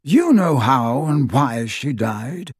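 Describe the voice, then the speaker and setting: Audiobook role: cool, grave old wizard man performing, reproving yet composed, breathy-rough voice in a dry booth. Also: medium pitch, sing-song, no echo, exaggerated voice. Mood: solemn, urging. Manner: measured, cool, gently reproving. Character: calm, stern, wise old male headmaster wizard.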